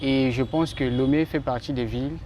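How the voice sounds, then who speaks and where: A man's voice: medium pitch, steady tone, normal speed, a little mellow, a little hiss or hum. A man from the Republic of the Congo, an architecture student, answering interview questions in a thoughtful, hesitant way with many fillers. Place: outdoors in a city.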